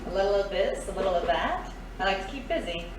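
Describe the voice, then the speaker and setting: A woman's voice: medium pitch, expressive, quick pace, lightly acted. A woman playing a sly, confident thief in an audio drama, with a coy, knowing manner. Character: sly, confident female thief, fond of old partner. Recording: very echoey, distant mic, noisy.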